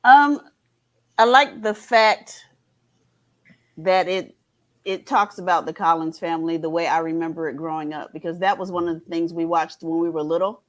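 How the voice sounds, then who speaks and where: A woman's voice: on the low side, fairly even intonation, normal speed. A woman chatting casually as a panelist in a fan discussion.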